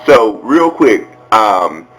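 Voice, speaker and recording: A man's voice: fairly low pitch, big pitch swings, very slow, a bit bright, soft background music. An American man giving casual commentary as a video blogger, talking in a candid, sassy, colloquial way.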